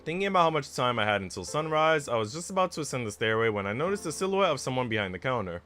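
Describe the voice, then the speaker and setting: Male streamer, lively and varied pitch, chatty and musing, reads story text aloud with music underneath. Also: racing. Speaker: streamer reading a visual novel aloud. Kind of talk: casual livestream chat mixed with expressive story narration. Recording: music playing behind the voice. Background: background music.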